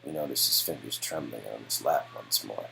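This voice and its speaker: A man reading scripted dramatic narration in a quiet, measured storytelling style. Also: very deep voice, gravelly, soft background music, poor audio. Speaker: narrator and performer.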